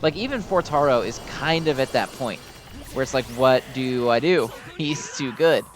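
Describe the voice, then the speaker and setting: A man's voice: mid-range voice, lively intonation, moderate pace. Rambling man over game music. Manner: rambling, self-deprecating, informal fan talk. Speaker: gaming video host. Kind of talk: casual unscripted gameplay commentary.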